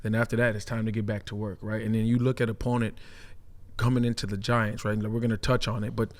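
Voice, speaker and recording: A man with a lowish, level voice talks quickly as a sports analyst. His delivery is casual and emphatic, checking for agreement as he makes his points. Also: flat delivery. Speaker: sports analyst, former player.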